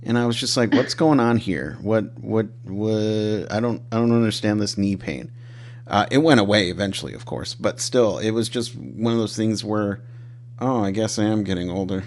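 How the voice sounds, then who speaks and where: A man's voice: fairly low pitch, moderate pace. A man in his thirties hosting a podcast, chatting casually with a self-deprecating, rambling way of talking. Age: 30s. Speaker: podcast host. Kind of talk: casual interview chat.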